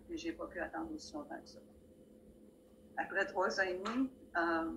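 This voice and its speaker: A woman's voice: on the low side, creaky, fairly bright tone, a little hiss or hum, low-quality recording. Older woman from Montreal telling stories. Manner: plainspoken storyteller, wry asides. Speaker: guest speaker. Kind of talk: personal anecdotes at a community talk. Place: library room with bookshelves, indoors. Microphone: video call, laptop camera.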